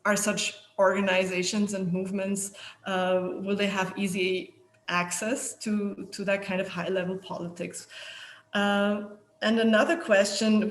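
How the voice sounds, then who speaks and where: A moderator on an online panel poses long questions in a flat, gravelly voice. The phrasing halts and restarts with "uh" and "um". The sound carries a roomy echo over the call. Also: mid-range voice. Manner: halting, restarts questions with fillers. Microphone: video call.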